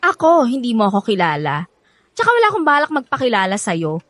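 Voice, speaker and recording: Brash, bitter Filipino older sister, high and animated, blunt, theatrical radio drama. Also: female voice, brisk, dry recording. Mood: indignant, accusing. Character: bitter, brash, overprotective older sister, confrontational young woman.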